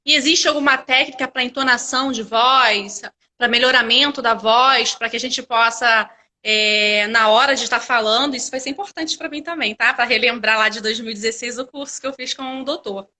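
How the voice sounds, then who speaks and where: A woman's voice: fairly high pitch, moderate pace, sharp tone. A Brazilian woman hosting a live web radio interview in an informal, chatty way. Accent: Brazilian Portuguese. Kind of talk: casual live interview chat.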